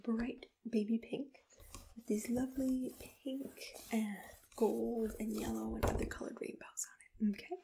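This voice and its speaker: A woman speaking in a breathy, mostly whispered voice, gently playing an attentive agency assistant in an ASMR roleplay, close to the microphone. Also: a little high, fairly even intonation, a little hiss or hum, poor audio. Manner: gentle, personal, unhurried customer-service address.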